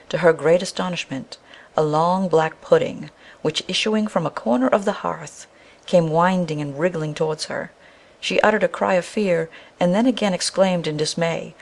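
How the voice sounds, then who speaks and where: Woman reading a fairy tale audio story, lowish voice, lots of vocal fry. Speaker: storyteller, narrator. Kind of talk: scripted fairy-tale narration.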